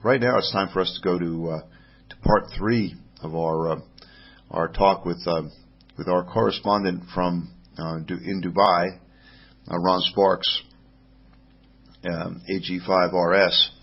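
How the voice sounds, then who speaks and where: Sex: man. Role: podcast host. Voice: creaky, husky, low. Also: steady tone, highs cut off. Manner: halting, restarts phrases often. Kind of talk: casual podcast monologue reading listener mail. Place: home in Rome.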